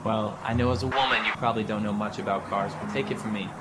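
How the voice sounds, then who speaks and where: A man's voice: fairly low pitch, fairly even intonation, fast, a bit bright, natural voice. A man playing a smug scheming mechanic. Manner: sneering, patronizing. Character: smug scheming male car mechanic, condescending. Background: music.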